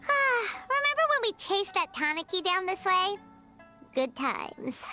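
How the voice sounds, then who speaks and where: A woman voicing a tiny, childlike, chirpy and curious female fairy companion in an anime game, with a squeaky voice. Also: big pitch swings, slow, sharp tone, soft background music, exaggerated voice. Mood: wistful.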